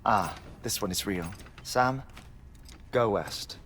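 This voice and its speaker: An actor playing a cerebral, measured, eccentric male scientist in a video game, speaking precisely and formally. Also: on the low side, a bit bright, slight background noise. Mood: pensive, guarded. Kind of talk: video game cutscene acting.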